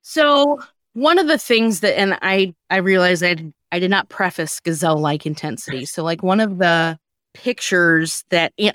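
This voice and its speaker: A woman's voice: on the low side, a bit bright, no echo, studio quality. A woman hosting a podcast, chatting casually with wry, sarcastic humor. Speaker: podcast host. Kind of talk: casual comedic chat.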